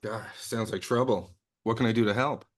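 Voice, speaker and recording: A man's voice: on the low side, fairly even intonation, moderate pace, natural voice. A man playing an earnest, eager secret agent in a comedy table read. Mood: concerned.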